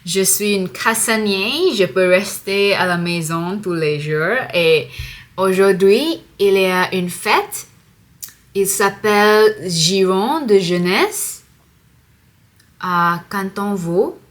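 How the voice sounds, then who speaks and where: A young woman from Singapore vlogging in careful, slightly halting French that is not her first language. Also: mid-range voice. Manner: slow, careful learner's French with pauses. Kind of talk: casual vlog to camera.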